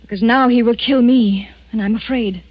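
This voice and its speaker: An actress playing a frightened wife of a killer in 1940s radio drama, pleading breathlessly and dramatically. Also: female voice, on the high side, steady tone, moderate pace, no echo, natural voice. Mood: anxious, confiding. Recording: muffled 1940s radio broadcast, music behind.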